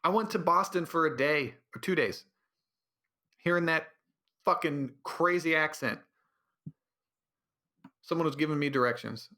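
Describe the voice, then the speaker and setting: A man's voice: a little high, a little slow, long pauses, sharp tone. A man hosting a solo podcast, delivering a sarcastic, rambling comedic rant. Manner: sarcastic, rambling, drops into mocking voices. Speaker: podcast host.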